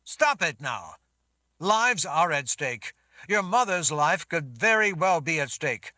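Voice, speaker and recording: A man's voice: fairly high pitch, very animated, takes it slowly, pushed and bright, dry recording, studio quality, pitched above the performer's own voice, exaggerated voice. A man voicing a protective lord who speaks in a firm, insistent voice.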